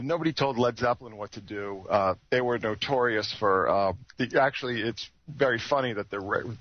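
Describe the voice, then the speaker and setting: A man in an unscripted radio discussion, explaining things in a measured, hesitant way. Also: on the low side, dry recording. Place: internet talk radio show with caller. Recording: dull lo-fi internet radio call audio.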